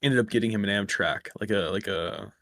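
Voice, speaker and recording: A man with a slightly raspy voice, rambling through a story in casual chat over a voice call. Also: fairly low pitch, a little fast, a bit bright, no echo. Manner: rambling, self-correcting storyteller. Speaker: stream guest. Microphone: close microphone.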